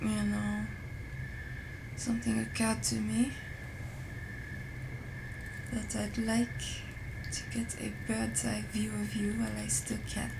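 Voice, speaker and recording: A woman playing a playful, teasing, affectionate young French roommate in an intimate ASMR roleplay, speaking softly and without hurry. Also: on the high side, completely flat delivery, noisy background. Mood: tender, relaxed. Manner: soft, unhurried, breathy whispering, teasing.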